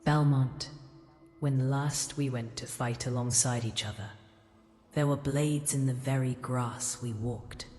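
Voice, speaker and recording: An actress playing an ancient, imperious goddess in a fantasy audio drama, speaking in a solemn, regal, formal way. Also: female voice, rumbling low, fairly even intonation, a little slow, dark tone. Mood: regal, measured. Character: ancient imperious goddess in a queen's body, ghostly, commanding. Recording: cavernous reverb, faint music.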